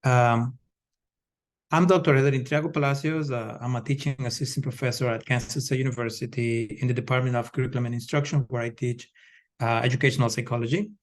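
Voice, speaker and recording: A man's voice: medium pitch, steady tone, fast. Man from ecuador, polite panel talk, lots of uhs. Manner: polite, frequent "uh" fillers. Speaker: panelist, education professor. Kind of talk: panel discussion remarks.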